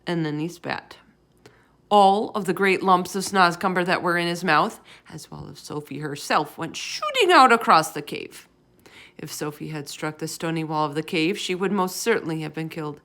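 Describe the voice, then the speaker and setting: A woman storyteller reading a children's book aloud in expressive narration, with dramatic, drawn-out emphasis. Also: on the low side.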